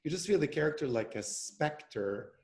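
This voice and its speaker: A man talking in a relaxed interview, telling stories with lots of fillers and restarts. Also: on the high side, normal speed. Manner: animated storyteller with many fillers and restarts. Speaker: actor and podcast guest. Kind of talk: casual interview conversation. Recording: echoey room.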